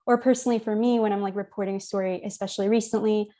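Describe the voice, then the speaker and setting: A woman's voice: mid-range voice, fairly even intonation, racing, warm tone. A woman with an American accent moderating a casual interview as a journalist, informal and peppering her questions with "like". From United States. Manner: informal, frequent 'like'. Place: remote virtual event.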